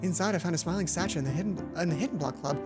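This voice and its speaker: A woman's voice: fairly low pitch, very fast. Dramatic woman narrating over music. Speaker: let's play host. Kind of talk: visual novel read-aloud with casual commentary.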